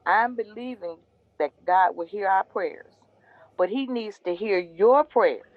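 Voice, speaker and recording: A middle-aged actress playing a loving, churchgoing mother who gently urges her grown son to pray. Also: medium pitch, measured pace, no echo, natural voice. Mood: urging. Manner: gentle, coaxing, preacherly. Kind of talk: audio drama acting. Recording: dry, dull lo-fi sound.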